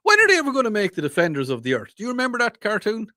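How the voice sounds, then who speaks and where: Excitable Irish man, dry close mic. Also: high-pitched, rapid-fire, no echo. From Ireland. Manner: excitable, repeats himself when worked up. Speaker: podcast co-host. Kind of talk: casual chat.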